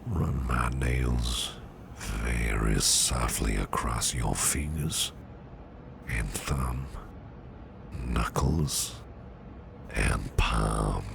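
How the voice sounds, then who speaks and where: Man voicing a protective businessman boss, ASMR roleplay, extremely low pitch, breathy near-whisper, slow tender delivery, noisy ambient background. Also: exaggerated voice. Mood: soothing, tender. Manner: tender, slow, reassuring murmur. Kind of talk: ASMR roleplay, soothing personal attention.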